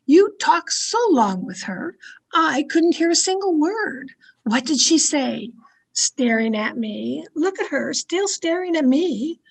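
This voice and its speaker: A woman's voice: high voice, lively intonation, slow pace, vocal fry, exaggerated voice. A woman playing an anxious, domineering wife, demanding and fretful, in old time radio horror style. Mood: demanding, agitated. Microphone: video call.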